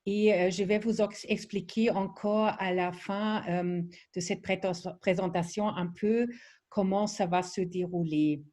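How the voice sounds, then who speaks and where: German-accented woman, slow and hesitant. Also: medium pitch, steady tone, darkish tone. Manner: hesitant, many fillers and restarts. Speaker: presenter of a training webinar. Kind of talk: online training presentation.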